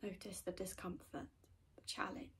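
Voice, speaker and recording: Soft-spoken woman guiding meditation, creaky voice. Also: mid-range voice, normal speed. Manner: gentle, soothing, often trailing into breathy whispers. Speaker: meditation guide.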